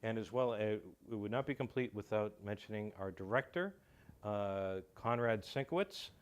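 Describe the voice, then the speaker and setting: Low-voiced man, halting and enthusiastic. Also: clear, resonant tone. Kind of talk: casual talk show conversation.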